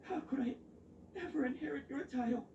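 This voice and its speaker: An actor playing a guilt-ridden young knight medic in a video game, speaking haltingly and breathily in pleading tones. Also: male voice, very high-pitched, gravelly, reverberant, distant mic, background noise. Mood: bitter self-blame. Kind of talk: dramatic video game voice acting.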